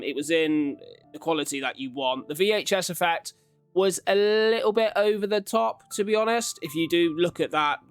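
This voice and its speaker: A man's voice: fairly high pitch, a bit bright, clear, resonant tone. A man hosting a casual ranking commentary, talking in a rambling, informal, conversational way. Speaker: YouTube reaction host. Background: background music.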